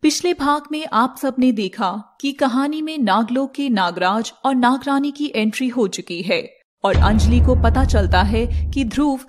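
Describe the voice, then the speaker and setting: A woman narrating a scripted animated fairy tale as storyteller, with noise behind her. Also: a little high.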